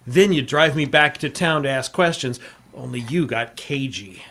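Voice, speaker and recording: An actor playing a tough, dogged reporter in an old radio drama, terse and insistent in narration and dialogue. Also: male voice, medium pitch, normal speed, a bit bright. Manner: terse, hard-boiled, insistent. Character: tough, dogged male newspaper reporter O'Hara.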